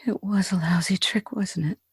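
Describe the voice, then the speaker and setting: A woman's voice: medium pitch, steady tone, normal speed, darkish tone, slightly rough, no echo, clean studio sound. A woman playing a shaken, jaded Hollywood actress in a classic 1940s-style radio drama. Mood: uneasy, subdued.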